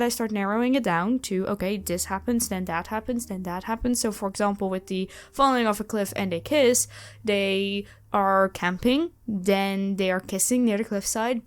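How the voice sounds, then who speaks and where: A woman with an enthusiastic, expressive way of talking, casually answering questions as the host of her own channel. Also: mid-range voice, normal speed, clean tone. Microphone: close USB microphone. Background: background music.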